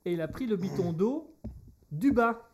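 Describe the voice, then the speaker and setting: A man's voice: high voice, expressive, moderate pace, a little hiss or hum. A man co-hosting a humorous radio show, telling a story and trading jokes in playful banter. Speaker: radio co-host.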